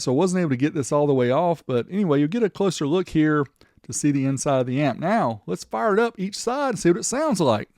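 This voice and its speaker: Higher-pitched man, chatty gear reviewer. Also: a little mellow, dry recording, studio quality. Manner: chatty, informal, upbeat. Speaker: host of a car audio testing show. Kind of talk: casual product test commentary.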